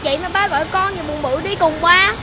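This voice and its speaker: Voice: very high voice, fast. An actor voicing an eager, cheeky little boy puppy in a children's cartoon, childlike and exclamatory. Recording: loud music over voice.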